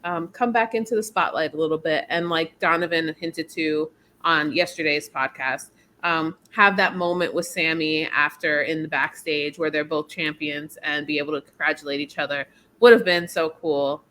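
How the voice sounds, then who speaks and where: Low-voiced, bright-toned woman co-host. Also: steady tone, a little hiss or hum. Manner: measured, thoughtful, many "um"s. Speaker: podcast co-host. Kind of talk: casual podcast chat.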